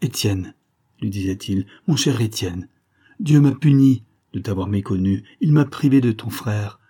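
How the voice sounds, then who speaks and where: A man's voice: lowish voice, normal speed, a little mellow, husky. A man reading aloud plays a grieving old nobleman, a father who cries out and begs tearfully. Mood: pleading.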